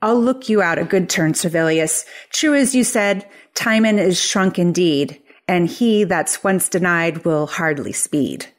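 A woman playing an effusive, flattering, two-faced Athenian lord in a theatrical Shakespeare play reading. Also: on the low side, measured pace, hardly any pauses, lightly acted. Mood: feigned regret. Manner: gushing, theatrical.

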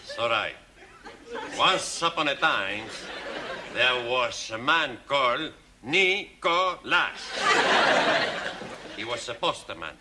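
Excitable Spanish student, animated and noisy. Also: male voice, mid-range voice, slow, pauses often, a loud crowd. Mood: earnest, confused. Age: middle-aged. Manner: broken English, exuberant, confidently mistaken. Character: cheerful, excitable, muddle-headed Spanish evening-class student. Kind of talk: 1970s TV sitcom acting. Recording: noisy old TV studio sound.

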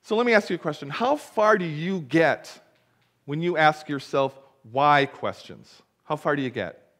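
A man's voice: fairly high pitch, expressive, moderate pace, fairly bright tone. A middle-aged man, a pastor, reading the gospel and preaching a sermon in a church sanctuary, telling the story vividly and asking the congregation questions.

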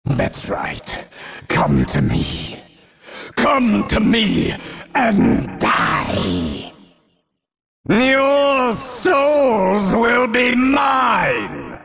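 An arrogant demon prince delivers wrathful game villain lines in a high-pitched male voice that swings wildly. The delivery is imperious and very slow, with faint music behind it. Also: huge pitch swings, vocal fry, fairly bright tone, raised voice, heavily acted. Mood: menacing, imperious. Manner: bombastic, imperious, drawn-out delivery. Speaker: fan voice actor.

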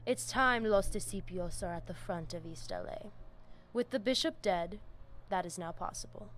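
A woman plays a vampire gang boss in a video game, speaking low. She is firm, commanding and menacing, measuring out her threats over a noisy ambient background. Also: normal speed, fairly bright tone, natural voice. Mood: proud, commanding. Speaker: vampire gang leader character. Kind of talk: scripted video game dialogue.

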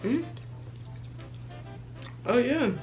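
A woman's voice: on the high side, extremely slow, lo-fi sound, soft background music. Chatty young woman vlogging at home. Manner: chatty, relaxed, with frequent "like" fillers. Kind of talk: casual vlog chat. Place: home, indoors.

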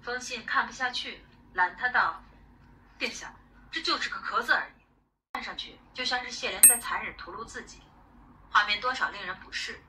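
A woman's voice: on the high side, moderate pace, pushed and bright. A woman reading a story aloud in a dramatic audiobook style. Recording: distant playback with faint noise.

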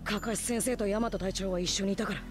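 An actor voicing a brash, earnest young male ninja hero in an anime game, with rough, boyish, informal speech. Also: medium pitch, fairly even intonation, fast talker, close to an everyday voice. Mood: serious. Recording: game dialogue over music.

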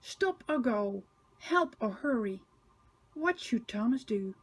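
An older woman narrating a picture book for small children, expressive and emphatic with sing-song repetition. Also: on the high side, takes it slowly, noisy background. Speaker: storybook reader for children.

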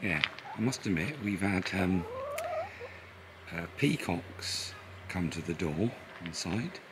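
Deep-voiced man filming a campsite walk-around. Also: slow pace. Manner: relaxed, chatty, with frequent fillers. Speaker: vlogger touring a campsite. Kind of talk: casual walk-around commentary. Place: outdoors at a riverside campsite. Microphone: handheld camera at arm's length. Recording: outdoor camera audio with clear ambient noise. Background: birdsong and rural outdoor ambience.

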